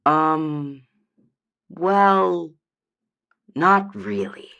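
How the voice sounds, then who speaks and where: A woman's voice: sits low, sing-song, extremely slow, gravelly, fairly bright tone, clean tone, muffled recording, heavily acted. A woman voicing a meek, hesitant dryad calling a radio advice show in an audio drama, unsure and trailing off. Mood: hesitant, sheepish. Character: hesitant, meek female dryad, homesick for her forest.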